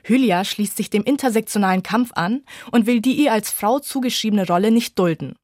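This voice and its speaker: A woman's voice: medium pitch, a little fast, darkish tone, dry recording, clean studio sound. A woman reporter reading a scripted radio book review feature.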